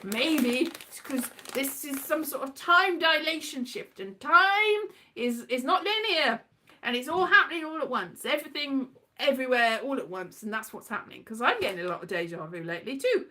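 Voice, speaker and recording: A woman's voice: high-pitched, animated, moderate pace, sharp tone. A British woman chatting casually in a whimsical, digressive monologue, often turning playfully dramatic. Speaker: performer and vlogger.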